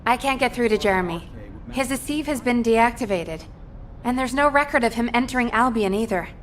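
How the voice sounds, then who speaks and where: An actress playing a composed, formal military operations officer in a video game, businesslike in delivery. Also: female voice, fairly high pitch, fairly quick, natural voice. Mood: concerned, businesslike.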